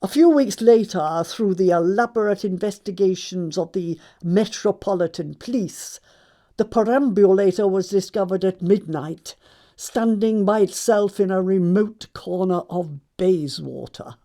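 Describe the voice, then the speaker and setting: Woman acting an imperious, slow-speaking aristocratic English lady. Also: mid-range voice, dark tone, close to an everyday voice. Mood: accusing. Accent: upper-class English. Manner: pompous, commanding, emphatic enunciation. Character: imperious, snobbish aristocratic older lady, haughty and disapproving. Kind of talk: stage comedy audiobook acting.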